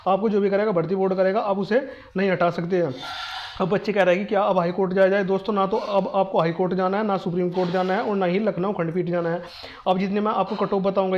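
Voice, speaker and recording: A man's voice: high-pitched, muffled recording. A man gives exam cutoff advice to students, repeating reassurances in an urgent, insistent way. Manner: repeats "ठीक है दोस्तों", fast insistent reassurance. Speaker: exam coaching video host. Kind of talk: direct-address exam advice.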